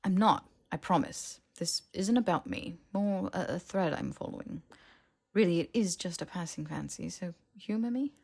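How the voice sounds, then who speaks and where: A woman's voice: fairly low pitch, moderate pace, gravelly, a little mellow, slightly rough. A woman voicing an evasive, casual young man in an audiobook, with a hesitant way of talking. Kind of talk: audiobook character dialogue.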